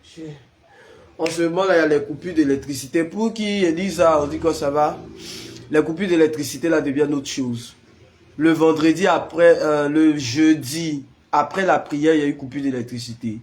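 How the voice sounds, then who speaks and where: A man's voice: a little high, normal speed, a little hiss or hum. Man with the flu leading prayer. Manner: greets viewers by name, warm pastoral tone. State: has the flu. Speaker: prayer leader. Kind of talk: casual live-stream chat with viewers before prayer.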